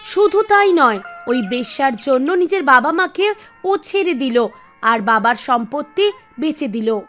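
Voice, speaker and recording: A woman reading scripted narration for an animated devotional tale, with music behind her. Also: high voice, a little slow. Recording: music bed under the voice. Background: background music.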